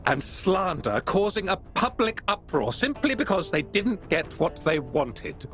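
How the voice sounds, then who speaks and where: A man's voice: high-pitched, very animated, a little slow, a bit bright, soft background music, heavily acted. A male actor playing a pompous, indignant art exchange boss who speaks in formal, self-righteous oratory.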